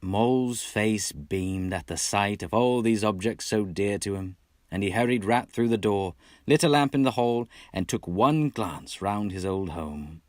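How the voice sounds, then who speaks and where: A man's voice: fairly low pitch, animated, a little slow, darkish tone, dry recording, clean studio sound. A man from Texas reading a classic storybook expressively as narrator, in a put-on British accent. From Texas, United States. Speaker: amateur audiobook reader.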